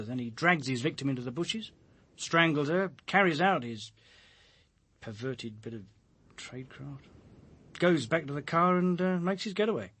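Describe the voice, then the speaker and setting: A man's voice: a little high, fairly bright tone. A man playing a weary, haunted police detective in a radio drama, brooding and grimly emphatic.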